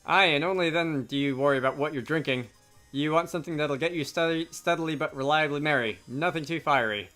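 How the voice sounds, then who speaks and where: A man reads the part of a breezy, worldly wizard in a video game, jovial and full of opinions. The voice is bright and a touch high, over soft music. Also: a little fast. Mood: jovial, boastful.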